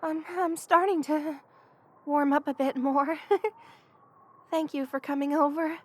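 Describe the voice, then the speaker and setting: A woman voicing a young lamia best friend in an intimate ASMR roleplay, affectionate and hesitant, with a soft-hearted manner. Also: high voice, fairly even intonation, takes it slowly. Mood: cosy, grateful.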